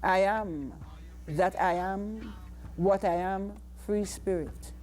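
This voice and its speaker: Jamaican woman, poetry recitation, measured and solemn, slow pace, soft dark timbre. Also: mid-range voice. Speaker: poet.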